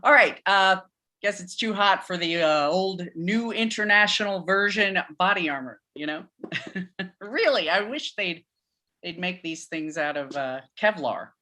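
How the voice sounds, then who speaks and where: A woman playing a joking, informal church pastor who speaks haltingly in a live staged play reading. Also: fairly low pitch, pushed and bright. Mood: wry, joking. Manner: halting, chuckling, informal sermon delivery. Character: Pastor Reggie, rambling, joking, nervously candid church pastor.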